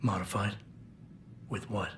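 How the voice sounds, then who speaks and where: A man's voice: fairly low pitch, steady tone, a little slow, a bit bright, a little hiss or hum. A man voicing a grim, blunt male augmented ex-cop agent in a game, with a curt, cold manner. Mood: flat.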